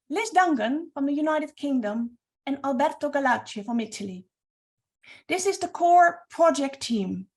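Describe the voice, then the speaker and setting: A woman with a Norwegian accent, Norwegian being her first language, presenting a webinar as project coordinator in earnest, slightly halting English. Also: sits high.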